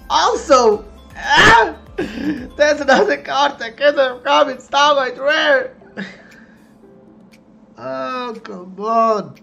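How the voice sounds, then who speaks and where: Young man slowly narrating card pack openings. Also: vocal fry, highs cut off. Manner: chatty, excited on big pulls, low and let down on misses. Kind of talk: casual running commentary while opening trading card packs. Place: home room, indoors.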